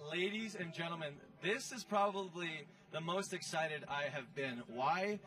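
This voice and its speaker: A man hosting a casual sports post-game interview, chatty and excitable, with slangy, teasing delivery. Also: high-pitched, distant mic. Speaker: show host interviewer. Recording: off-mic, music playing behind. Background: music.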